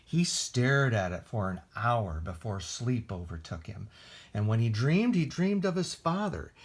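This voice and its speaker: A man with an American accent reading aloud at a children's storytime, expressive and deliberate, with casual asides in simple words. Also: medium pitch, husky. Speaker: library storytime reader.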